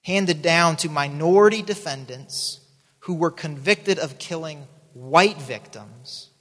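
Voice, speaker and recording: A man's voice: on the high side, takes it slowly, a bit bright, long reverb tail. A man in his thirties who grew up in South America, preaching a sermon in an earnest way and often restarting his sentences. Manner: earnest, repeats words when starting a thought. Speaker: pastor.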